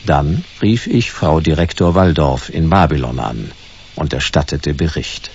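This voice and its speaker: An actor playing a laconic hard-boiled private detective in a German radio drama, dry and clipped. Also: male voice, very deep voice, a little slow, muffled recording, noisy background. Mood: laconic narrating. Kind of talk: first-person noir narration and dialogue in radio drama.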